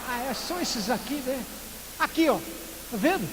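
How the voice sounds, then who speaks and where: High-voiced preacher guy from recife, lots of questions and little jokes, loud hiss in the recording. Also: a little slow. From Recife, northeastern Brazil. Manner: lively preaching full of rhetorical questions and playful asides. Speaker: pastor. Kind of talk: sermon. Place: church sanctuary. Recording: echoey church hall with loud hiss.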